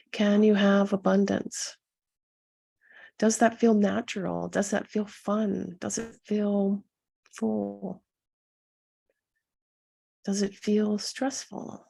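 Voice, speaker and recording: A woman leading a guided meditation as a coach, speaking softly and soothingly to her listeners with a gentle, husky delivery. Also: medium pitch. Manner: soothing, gentle, unhurried instruction with pauses.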